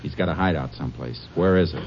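An actor playing a terse, authoritative Texas Ranger in charge in a 1950s radio crime drama. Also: male voice, a bass voice, fast talker, breathy edge. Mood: stern, pressing. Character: steady, commanding Texas Ranger lawman in charge. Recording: muffled vintage radio recording with music.

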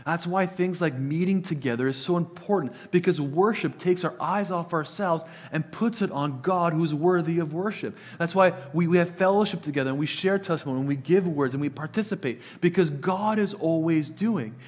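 Earnest pastor, Italian first language. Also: male voice, on the high side, moderate pace, few pauses, darkish tone. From Italian family. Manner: earnest, repeats words when starting sentences. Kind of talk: sermon.

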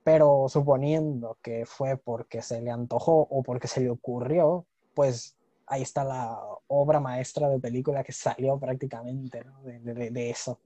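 Mellow young Mexican man, casual explainer. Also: mid-range voice, steady tone, normal speed, no room sound, muffled recording. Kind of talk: casual chat.

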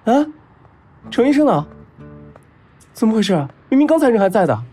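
Flustered lovesick young man in audio drama, high voice, really animated, stammery and whiny, bit of music behind. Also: normal speed. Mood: panicked. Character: flustered, smitten, excitable young male patient.

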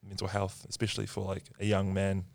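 A man's voice: deep voice, monotone, brisk, gravelly, no room sound. A man in his twenties with a New Zealand accent, a podcast guest telling his story in an earnest, reflective way. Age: 20s. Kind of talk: casual chat.

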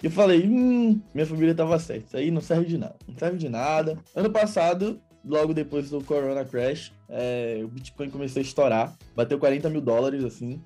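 A young Brazilian man talks as an interview guest, rambling informally as he explains. His voice is mellow and a touch high, with faint music behind it. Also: a little fast, hardly any pauses. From Salvador, Bahia, Brazil. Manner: informal, rambling, quoting himself in dialogue. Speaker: interview guest, crypto investor. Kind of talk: casual chat, explaining.

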